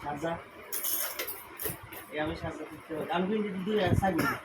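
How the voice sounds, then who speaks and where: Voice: medium pitch, normal speed, recorded from a distance. A speaker chatting casually, picked up from afar on a very noisy home video. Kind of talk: casual family chat.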